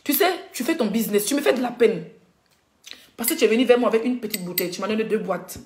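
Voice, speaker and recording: A woman's voice: fairly high pitch, fast, darkish tone. A woman blogger ranting indignantly on a live stream, coughing with a cold and addressing her viewers directly. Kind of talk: heated rant on a live stream. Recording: echoey room.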